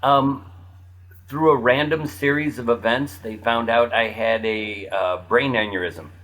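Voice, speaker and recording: A man's voice: medium pitch, fairly even intonation, a little slow, fairly bright tone, rough recording. A man chatting casually as a podcast guest in a dry, matter-of-fact way. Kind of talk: casual chat.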